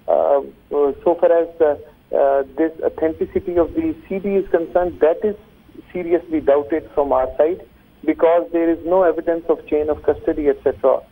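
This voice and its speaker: A man with a Pakistani accent speaking as a lawyer in a news interview, formal, legalistic and halting. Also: fairly high pitch. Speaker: defence lawyer, interview guest. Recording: thin, narrow phone-like line.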